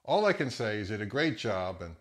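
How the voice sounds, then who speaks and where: A man's voice: on the low side, very animated, brisk. A man in his fifties giving scripted commentary on products as the host of a review channel.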